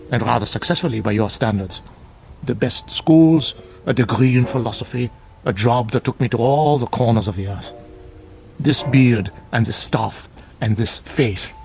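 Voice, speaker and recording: An actor playing a grave, fervent older monk in a radio drama, speaking in formal, measured, preachy phrases. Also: male voice, mid-range voice, gravelly, darkish tone, lightly acted. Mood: grave, assured. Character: solemn bearded older monk, fervent guardian of truth. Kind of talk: classic radio drama acting.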